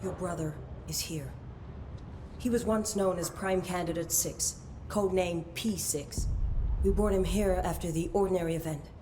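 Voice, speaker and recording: An actress playing a stern, secretive, middle-aged senior agency official in a video game, speaking in a measured, grave, authoritative way. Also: female voice, close to an everyday voice. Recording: faint rumbling noise behind.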